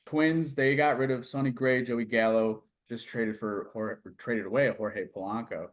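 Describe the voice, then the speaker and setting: An American man acting as interviewer on a sports segment. He speaks conversationally, with a mid-range male pitch. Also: fairly even intonation, unhurried. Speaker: producer conducting the interview.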